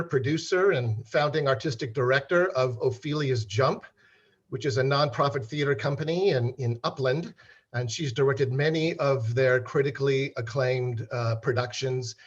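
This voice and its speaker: A man hosting a video-call interview, talking haltingly with many fillers and repeated words. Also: mid-range voice, highs cut off. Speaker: host.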